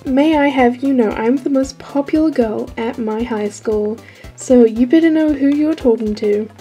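A woman playing a vain, entitled popular high school girl in an amateur skit, with a self-important manner. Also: normal speed, mellow, soft background music, natural voice. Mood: indignant.

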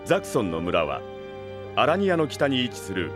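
A man narrating a Japanese fantasy audio drama in a formal, measured storytelling manner. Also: mid-range voice, wildly swooping pitch. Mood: solemn. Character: composed male storyteller of a fantasy saga. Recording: music behind the voice.

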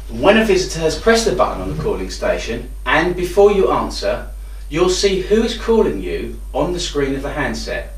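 Man in an echoey room, lively intonation. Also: on the high side, moderate pace, hardly any pauses. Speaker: product demonstrator. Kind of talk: instructional product demonstration. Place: indoor room with hard walls. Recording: roomy echo, distant microphone.

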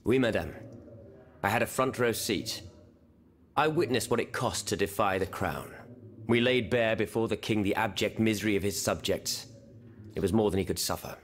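Game revolutionary played by a man: lowish voice, wary yet fervent, formal, eloquent rhetoric. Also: moderate pace, natural voice. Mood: grave, urgent. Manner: formal, rhetorical, sprinkled with French phrases. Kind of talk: video game cutscene acting.

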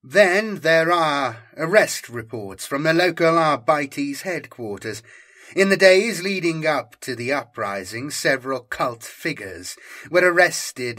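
Slow, measured male audiobook narrator. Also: medium pitch, sharp tone. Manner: measured, deliberate storytelling. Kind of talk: dramatic scripted narration of science fiction.

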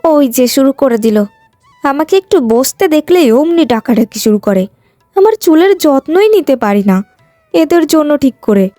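A woman's voice: sits high, expressive, moderate pace, mellow, dry recording, soft background music. A woman voicing a vain, haughty, petulant young village woman in a cartoon moral tale. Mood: petulant, resentful. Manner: boastful, petulant, complaining.

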